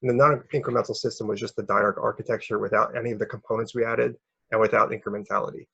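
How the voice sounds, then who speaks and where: Male academic presenter, very husky and gravelly, halting delivery, slightly muffled recording. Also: lowish voice, fairly even intonation, a bit bright, no echo. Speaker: researcher presenting a paper.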